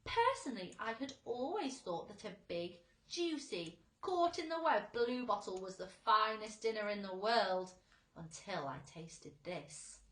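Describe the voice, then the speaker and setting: A woman's voice: mid-range voice, lively intonation, a little slow, roomy sound, lightly acted, low-quality recording. A woman voicing a genteel female storybook spider in a refined, emphatic manner. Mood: savouring.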